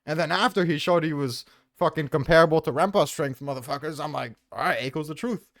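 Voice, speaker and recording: A man with a slightly high voice talks quickly, in a casual back-and-forth debate. His wording is slangy and profane, and the recording is dry and close. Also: fairly bright tone, dry recording. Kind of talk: casual debate about a manga tier list.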